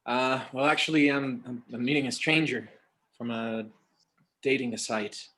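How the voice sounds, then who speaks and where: A man plays an anxious guy on edge before a date. His mid-range voice is hesitant and awkward, with halting drama dialogue. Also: mid-range voice, a bit bright, a little hiss or hum, natural voice. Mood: awkward nerves. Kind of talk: screenplay table read.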